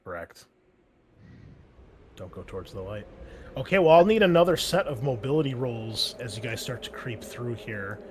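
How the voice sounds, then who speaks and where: A man's voice: mid-range voice, expressive, moderate pace, pauses often, slight background noise. A man serving as game master, talking loosely with lots of filler words. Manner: loose and chatty, full of "like" and "um" fillers. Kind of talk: running a tabletop game over a call.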